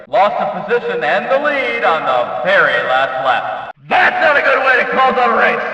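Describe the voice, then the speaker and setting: A man playing an excitable, over-the-top race announcer in a racing video game, calling the action with emphatic, drawn-out exclamations. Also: very high-pitched, heavily acted. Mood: dismayed, scornful. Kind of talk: shouted arcade racing game commentary. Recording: echoey PA-like hall, distant, dull, crowd.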